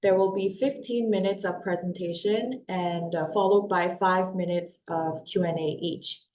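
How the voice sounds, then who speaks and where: A woman's voice: mid-range voice, darkish tone. A woman in her forties, born in Korea, hosting a live webinar with an upbeat, welcoming manner and frequent fillers like "um" and "uh". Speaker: webinar host and company founder. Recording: echoey room.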